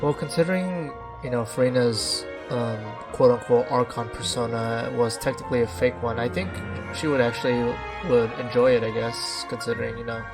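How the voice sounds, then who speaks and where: A woman streamer chats casually over a game. Her voice is extremely low for a woman, and she talks in a hesitant, rambling way. Game music is clearly heard behind her. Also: steady tone, normal speed, hardly any pauses.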